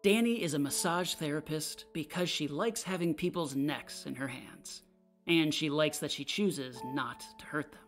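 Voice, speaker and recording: A woman's voice: sits low, normal speed, faint music behind. A woman reading a play's character notes and stage directions as scripted narration.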